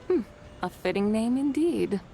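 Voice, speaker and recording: A woman's voice: on the high side, lively intonation, measured pace, creaky, dark tone, slight background noise. A woman playing a grand, eccentric female immortal in a fantasy game, speaking in a lofty, poetic, playfully vain manner. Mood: philosophical.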